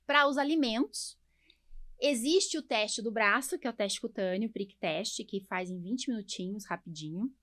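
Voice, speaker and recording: A woman's voice: fairly high pitch, normal speed, a bit bright. A Brazilian woman, a doctor hosting a podcast, explaining things informally with frequent tag questions and diminutives. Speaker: podcast host, allergist doctor. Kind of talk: casual podcast conversation.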